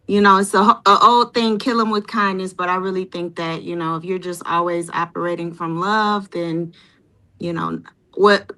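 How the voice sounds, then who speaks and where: A woman's voice: medium pitch, bright, forceful tone, rough recording. A woman who is an artist and fashion designer, sharing closing thoughts as a guest over a video call. She sounds hesitant, with many ums and you-knows. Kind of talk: casual chat.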